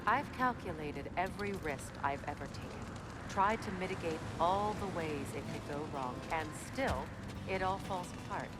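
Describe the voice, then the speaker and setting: A proud, careful sorceress from a video game, voiced by a woman. She talks in a measured, slightly reproachful tone. Loud game music plays behind her. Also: on the low side, animated, close to an everyday voice.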